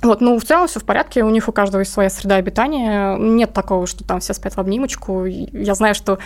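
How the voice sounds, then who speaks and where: A woman with a mid-pitched voice talks casually as an interviewee. She tells things in an informal, slangy way, as in relaxed conversation. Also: fast. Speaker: interviewed comic artist and cat owner.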